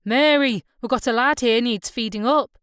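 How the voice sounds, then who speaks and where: A woman's voice: a little high, normal speed, clear, resonant tone, no echo, clean studio sound, close to an everyday voice. Woman voicing a hearty, genial male pub landlord. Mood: hearty, hospitable. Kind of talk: audiobook character dialogue.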